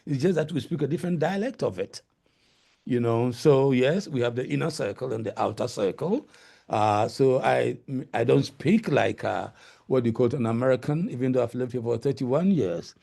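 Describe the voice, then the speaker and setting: A man's voice: medium pitch, expressive, moderate pace, creaky, mellow. A middle-aged man with a Ghanaian accent, answering webinar questions over a video call, hesitant and full of fillers. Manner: hesitant, many fillers and restarts. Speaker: guest lecturer.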